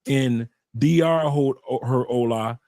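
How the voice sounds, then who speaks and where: A man's voice: medium pitch, measured pace, warm tone, no room sound. A man, a guest on an online show, talking casually and animatedly, repeating phrases and restarting sentences as he tells stories. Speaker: guest, YouTube channel creator and author. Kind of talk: casual conversational interview. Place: home in Colombia.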